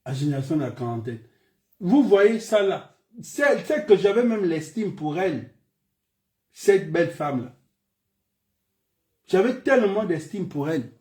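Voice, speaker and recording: Emphatic high-voiced Gabonese man. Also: sing-song, normal speed, pauses often, darkish tone. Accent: Gabonese French. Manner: emphatic, repeats phrases, rhetorical questions. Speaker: political commentator. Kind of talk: impassioned political speech.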